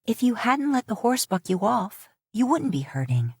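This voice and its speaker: A woman voicing a sharp, impatient middle-aged mother scolding her husband. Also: fairly high pitch, big pitch swings, normal speed, husky, dry recording, studio quality, pitched above the performer's own voice, heavily acted.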